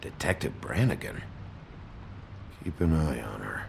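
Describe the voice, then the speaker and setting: An actor playing a gruff, weary, terse sheriff detective with a gravelly voice in a video game. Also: male voice, a bass voice, expressive, unhurried, slight background noise, full character voice. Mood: grim, musing. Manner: terse, blunt. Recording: music behind the voice.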